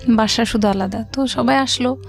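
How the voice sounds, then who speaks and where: A woman's voice: a little high, moderate pace, gravelly. Bangladeshi woman storyteller over music. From Rajshahi, Bangladesh. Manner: mixes English words into her speech. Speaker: guest telling her life story. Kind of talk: conversational personal storytelling on radio. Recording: background music under the voice.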